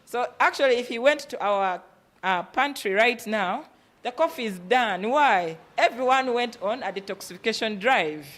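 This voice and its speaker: A woman's voice: a little high, a bit bright. A woman with a Ugandan accent speaking as a business workshop presenter, emphatic and instructive, often posing rhetorical questions. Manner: emphatic, asking rhetorical questions and answering them. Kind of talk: motivational business talk.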